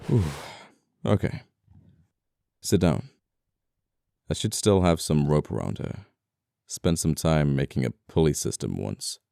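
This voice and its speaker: Casting: male actor for scoundrel murderer in ASMR roleplay, very deep and smooth, politely menacing, apologetic, flirtatious, quaint wording. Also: dry recording, studio quality, heavily acted. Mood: wistful, controlled. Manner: soft-spoken politeness, condescending, old-fashioned phrasing. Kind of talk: intimate ASMR audio roleplay acting.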